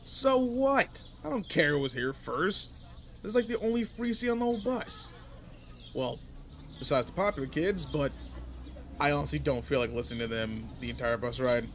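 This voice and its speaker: A man's voice: mid-range voice, slight background noise, natural voice. A man playing a cocky, irritable teenage delinquent in a roleplay audio drama, talking casually and teasingly straight to the listener.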